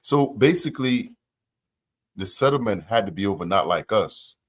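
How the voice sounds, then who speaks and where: A man's voice: lowish voice, animated, moderate pace. A man hosting a reaction channel, talking casually and musing aloud with rhetorical questions.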